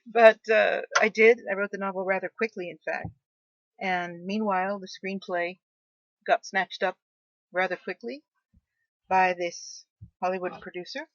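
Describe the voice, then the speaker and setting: A woman's voice: mid-range voice, little pitch movement, measured pace, long pauses, no echo. Enthusiastic woman guest, lo-fi sound. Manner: enthusiastic, talks with frequent restarts. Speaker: guest author and screenwriter. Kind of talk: animated interview conversation. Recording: dull lo-fi dry sound.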